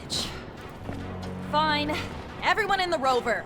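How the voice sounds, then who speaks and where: A hot-tempered, swearing young woman who drives a rover in a sci-fi game. Her voice is high and leaps around with scornful bite. Loud music plays over it. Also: animated, measured pace. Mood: bitter, sarcastic. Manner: bitter, sarcastic, swearing. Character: foul-mouthed, angry young woman rover driver on Mars. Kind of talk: video game voice acting.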